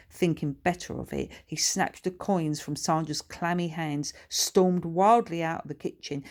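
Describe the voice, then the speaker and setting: A woman with a slightly low voice reads a children's story. She narrates expressively from a script, in the role of the book's author. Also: a little mellow. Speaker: author reading own children's book.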